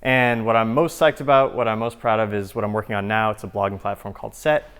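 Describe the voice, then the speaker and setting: A man's voice: lowish voice, steady tone, quick pace, fairly bright tone, clear, resonant tone. Man in his thirties giving a casual talk. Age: 30s. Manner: conversational, peppered with "like" and "you know". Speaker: speaker at a live talk. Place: live talk at a festival event.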